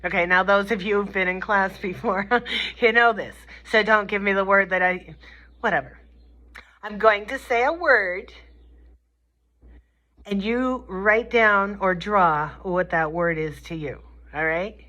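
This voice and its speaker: A woman's voice: medium pitch, measured pace, bright, forceful tone. A woman giving an informal, folksy comedic lecture, playful and colloquial, often checking in with her listeners. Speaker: lecturer teaching a communication class.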